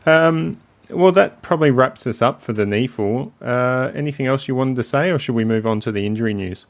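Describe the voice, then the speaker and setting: A man with a croaky voice, hosting a casual sports podcast in a relaxed way with frequent ums. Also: medium pitch, moderate pace. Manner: relaxed, leads the segments, many ums. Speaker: podcast host.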